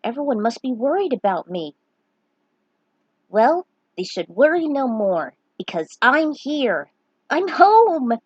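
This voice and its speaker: A woman's voice: high voice, sing-song, takes it slowly, husky, no room sound, highs cut off, voice pushed up, exaggerated voice. Woman reading as an earnest young boy hero. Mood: eager, relieved. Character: young small male adventurer hero, earnest and eager.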